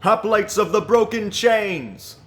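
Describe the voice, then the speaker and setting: A man playing a bold young warrior in a fantasy audio drama, speaking in a forceful, projected way. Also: high voice, takes it slowly. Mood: urgent, rousing. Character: bold young male warrior rallying soldiers.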